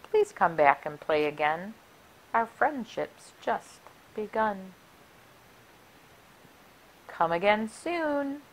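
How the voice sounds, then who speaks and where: A middle-aged woman reading a rhyming picture book aloud for children in an expressive, deliberate, sing-song way. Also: medium pitch, slight background noise, low-quality recording. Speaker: library story time reader. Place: small furnished room indoors. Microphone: clip-on lapel mic.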